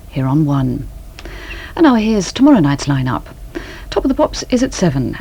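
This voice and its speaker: Softly mellow British woman announcer, lively intonation. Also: low-pitched, slightly rough. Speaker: television continuity announcer. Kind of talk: scripted late-night TV continuity announcement. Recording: old 1980s VHS television broadcast.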